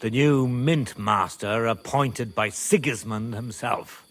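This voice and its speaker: An actor playing a middle-aged medieval nobleman and father, speaking in a measured, wry and wary manner for a video game. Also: medium pitch, a bit bright. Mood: grim, confiding. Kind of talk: video game cutscene acting.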